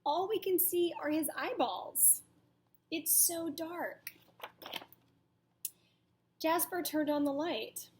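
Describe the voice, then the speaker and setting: A woman teacher reading a picture book aloud to children in a deliberate, dramatic way, pausing to ask them questions. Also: extremely high pitch, slight background noise. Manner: deliberate, dramatic storytelling delivery.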